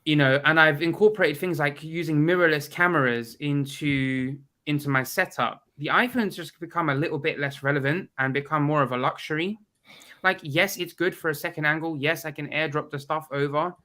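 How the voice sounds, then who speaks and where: A man guesting on a video call chat, his voice bright and forward and sitting a bit high, though a head cold leaves it stuffy. He talks bluntly and with plenty of opinion. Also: a little fast. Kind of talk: casual chat.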